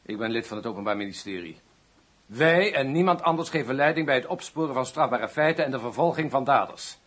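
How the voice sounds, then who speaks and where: A pompous, husky public prosecutor. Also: male voice, mid-range voice, animated, fairly quick, a bit bright. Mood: indignant. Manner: pompous, indignant, cutting. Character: stern, indignant male public prosecutor, haughty and legalistic. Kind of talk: Dutch radio play acting.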